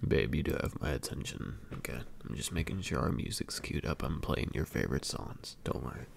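A man playing an affectionate, clingy emo boyfriend in an intimate ASMR roleplay, murmuring tenderly and haltingly with a breathy, gravelly, very deep voice. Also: a little hiss or hum, heavily acted. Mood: reassuring, soothing. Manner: tender, unhurried, halting and doting.

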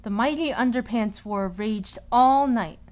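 A woman reading a children's picture book aloud in an expressive, deliberate storytelling manner, stressing key words. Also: a little high.

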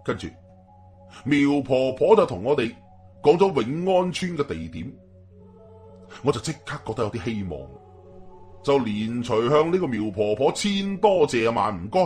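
Animated Hong Kong Cantonese male storyteller. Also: mid-range voice, unhurried, pauses often, soft background music. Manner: colloquial, suspenseful. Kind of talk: dramatic first-person story narration.

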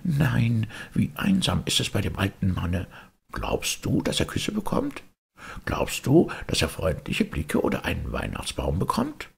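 A man's voice: sits low, wildly swooping pitch, unhurried, vocal fry, breathy edge, pitched above the performer's own voice, exaggerated voice. A man voicing a lonely little tin soldier in a storybook, lamenting plaintively. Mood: despairing.

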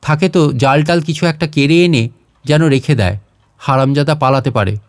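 A man's voice: fairly high pitch, normal speed, no room sound, studio quality, lightly acted. A man voicing an irritable, contemptuous landlord's steward in a storybook reading, scolding and cursing haughtily. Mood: angry.

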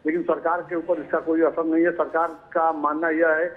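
A man's voice: fairly high pitch, level tone, normal speed. A male bureau chief giving a live field report from an outdoor event, talking in loose sentences full of fillers and repeated phrases. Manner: filler-heavy, repeats "jo hai" and "dekhiye". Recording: tinny phone-line audio.

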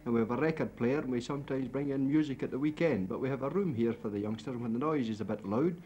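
Measured middle-aged landlord, dull old film sound. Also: male voice, medium pitch, mellow. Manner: measured, plain-spoken. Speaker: pub landlord. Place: inside a village pub bar.

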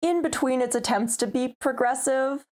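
A woman's voice: sits high, moderate pace, darkish tone, slight background noise. Wry young woman reviewing a cartoon. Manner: opinionated, wry, conversational. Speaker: video reviewer. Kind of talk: scripted review narration. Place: home room with a starry backdrop.

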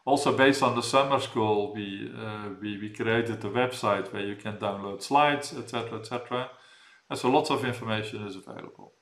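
A man's voice: on the low side, moderate pace, fairly bright tone. A man in his fifties giving an academic keynote lecture, explaining things in a hesitant way with repeated words. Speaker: keynote speaker, professor. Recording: echoey room sound.

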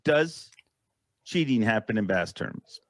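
A man's voice: fairly low pitch, lively intonation, normal speed, over loud music. A man in his forties interviewing casually on a livestream, speaking into a close desk microphone. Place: home office. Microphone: close desk microphone, headset.

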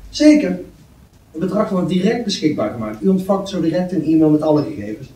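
A man's voice: high-pitched, very animated, brisk, mellow. A man playing a polite, reserved bank office worker with a courteous, formal manner at a script table read. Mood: courteous, businesslike. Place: meeting room, indoors. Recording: roomy, distant mic, faint noise.

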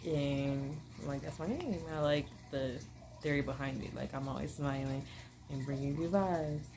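A woman's voice: a bass voice, measured pace, noisy background. A young woman vlogger chatting casually to camera from her living room, bubbly and rambling with lots of fillers. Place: small living room at home.

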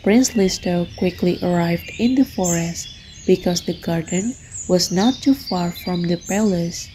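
A woman's voice: fairly low pitch, mellow, a little hiss or hum. A woman narrating a scripted children's bedtime story as a storybook narrator. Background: background music.

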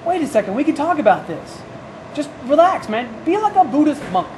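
A man voicing an excitable young male puppet prankster, wheedling and slangy in a comedy sketch. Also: very high voice, fairly quick. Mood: pleading, agitated. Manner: pleading, slangy. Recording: noisy room camera audio.

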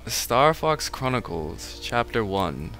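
A man's voice: medium pitch, sing-song, takes it slowly, music in the background. A man reading fan fiction aloud on a voice call, breaking off into casual, sardonic banter. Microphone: online voice call.

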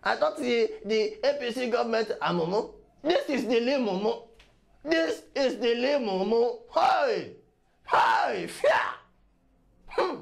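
Man playing a high, creaky Nigerian witch doctor. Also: expressive, slow pace, exaggerated voice. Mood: scornful. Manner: exclaiming, wailing, repeating himself. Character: envious, aggrieved village witch doctor, male, excitable, bumbling.

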